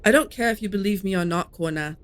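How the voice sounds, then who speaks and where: A woman's voice: mid-range voice, fast talker, close to an everyday voice. Woman acting a proud, defiant paladin. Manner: defiant, dry, sardonic. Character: proud, defiant female paladin without a soul. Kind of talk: fantasy audio drama acting.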